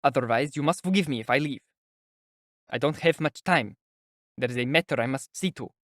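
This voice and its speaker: A man voicing a resentful young visitor in an audiobook, speaking resolutely and irritably, close to shouting. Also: fairly high pitch, lively intonation, a little fast, no echo, pitched above the performer's own voice. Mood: resolute, irritable. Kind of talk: audiobook character acting.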